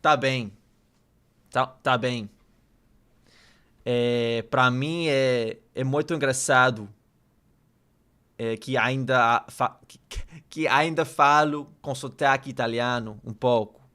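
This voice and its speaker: Guy learning portuguese, talks real slow, keeps repeating words while he figures it out. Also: mid-range voice, steady tone, pushed and bright, clear, resonant tone. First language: English. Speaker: language learner and vlogger. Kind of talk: casual study vlog, thinking aloud.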